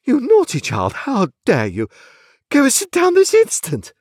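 Storybook role: male reader as grand, easily affronted mother, imperious, shrill-high pitch, very animated. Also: normal speed, no echo, studio quality, heavily acted. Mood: scolding. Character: haughty, indignant upper-class mother on her dignity. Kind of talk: dramatised classic novel reading.

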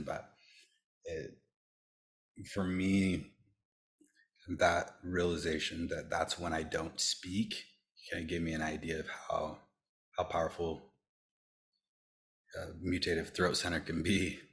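Male podcast host, low register, conversational, halting delivery that often restates phrases. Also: level tone, fairly bright tone. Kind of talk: casual conversational discussion.

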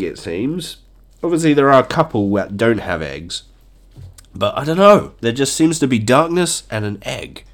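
A man hosting a casual podcast with a blocked nose from a cold, chatty and joking, chuckling often. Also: medium pitch. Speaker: podcast host. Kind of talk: casual solo podcast chat.

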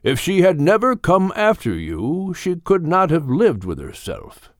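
A man voicing a wise, gentle older male animal mentor in an audiobook, speaking in a measured, firm but kindly way. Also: on the high side, wildly swooping pitch, no echo, studio quality, pitched above the performer's own voice. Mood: tender, counseling. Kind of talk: audiobook character voice.

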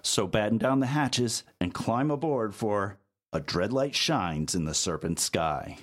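Animated, lowish-voiced man hosting theatrically. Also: a little slow. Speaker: podcast host. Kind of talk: theatrical scripted podcast introduction, playful pirate flourishes.

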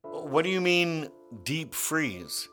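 A man's voice: a little high, sing-song, slow pace, sharp tone, heavily acted. A man playing an anxious, insistent theatre director in a radio play, with a hesitant, urgent manner. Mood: shocked, urgent. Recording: music bed behind the voice.

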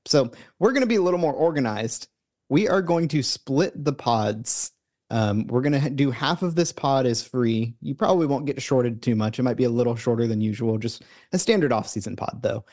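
A man's voice: mid-range voice, darkish tone. Male podcast co-host, casual and rambling, frequent ums and restarts, easygoing chat delivery. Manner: loose, rambling, many fillers and restarts. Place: remote recording, separate from co-host.

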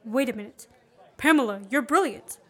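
A woman acting the part of a brisk, impatient man on the run in an amateur radio play. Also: a little high, fairly bright tone. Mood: earnest, urgent. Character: Richard Hannay, wronged male fugitive, brisk and impatient. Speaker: student actor.

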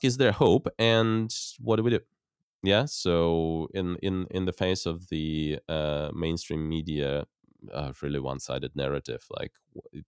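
Very deep-voiced man interviewing thoughtfully. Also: clear, resonant tone, dry recording, studio quality. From Ukraine. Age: 40s. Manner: thoughtful, searching for words. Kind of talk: conversational interview.

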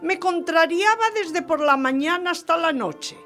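A woman's voice: extremely high pitch, lively intonation, unhurried, bright, forceful tone, faint music behind, heavily acted. A woman playing an exuberant, vengeful French marquise in radio theatre, theatrical and gushing, repeating phrases for emphasis. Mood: conspiratorial, indignant. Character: exuberant, scheming, vengeful French marquise, gleeful about her marriage. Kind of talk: radio theatre acting of a period story.

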